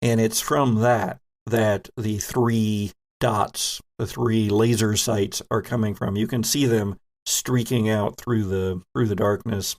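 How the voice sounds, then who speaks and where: A man narrating a tabletop role-playing game as game master, in a measured, descriptive way. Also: on the low side.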